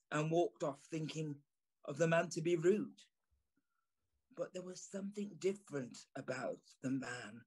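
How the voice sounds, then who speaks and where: A man's voice: on the high side, darkish tone, husky. A man playing a haunted, remorseful journalist, narrating an audio play with brooding, theatrical phrasing. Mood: hushed, uneasy recollection. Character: haunted, remorseful journalist recalling an eerie encounter. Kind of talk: dramatic first-person audio play narration.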